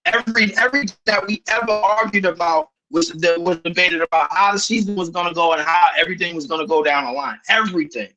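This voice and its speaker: A man's voice: sits high, quick pace, hardly any pauses, a little hiss or hum, poor audio. A man co-hosting a basketball podcast, arguing in a heated, slang-heavy casual way, peppering his points with "bro". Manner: animated, argumentative, heavy slang, repeats "bro". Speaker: podcast co-host. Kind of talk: heated casual sports banter.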